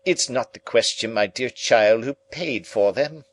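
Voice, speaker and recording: A man voicing a fussy, self-pitying older woman relative in an audiobook reading, with a shrill, affected, exclaiming manner. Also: a little slow, lightly acted. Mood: indignant. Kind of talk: audiobook character voice.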